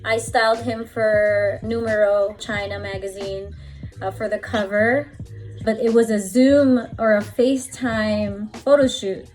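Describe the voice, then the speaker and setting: A woman's voice: medium pitch, steady tone, measured pace, darkish tone, soft background music. A young adult woman from the Philippines, a fashion stylist, chatting casually in an interview with lots of "like" and "you know".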